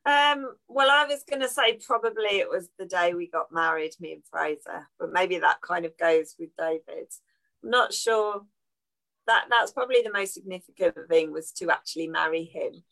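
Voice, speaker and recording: A woman in her thirties chats on a podcast with a bright, forward tone. Her voice has a gravelly fry, and she laughs easily as she talks. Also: on the low side, moderate pace, low-quality recording. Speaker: podcast co-host. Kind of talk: casual live podcast chat.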